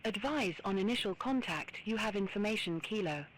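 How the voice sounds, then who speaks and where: A woman's voice: mid-range voice, lively intonation, a little fast. A woman voicing an automated airport information broadcast in a formal announcement style, through a radio filter. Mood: impersonal, formal.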